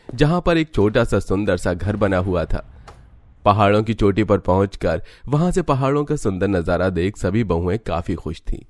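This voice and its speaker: Low-voiced man narrating a dramatic story. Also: darkish tone, dry recording. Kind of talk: scripted Hindi cartoon story narration.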